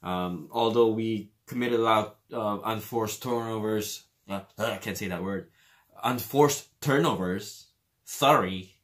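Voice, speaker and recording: A man with a Filipino accent giving casual commentary on a basketball game, filling pauses with "uh" and "man". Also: lowish voice, fairly bright tone. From Philippines. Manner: casual, many fillers, says "man" often. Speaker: fan commentator.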